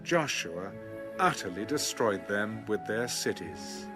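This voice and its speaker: Man narrating scripture over clear music. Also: fairly low pitch, lively intonation, slow pace. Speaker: audio Bible narrator. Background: background music.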